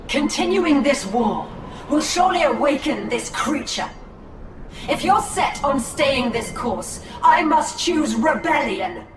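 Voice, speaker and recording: An actress playing a resolute, rebellious alien leader in a game cinematic, earnest and formal. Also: female voice, sits high, expressive, slow pace. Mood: defiant. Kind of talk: dramatic game cinematic acting. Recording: echoey, distant, faint noise.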